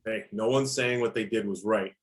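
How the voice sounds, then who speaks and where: A man playing a dutiful, ruthless armed operative, measured and apologetic, in a script table read. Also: lowish voice, close to an everyday voice. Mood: earnest.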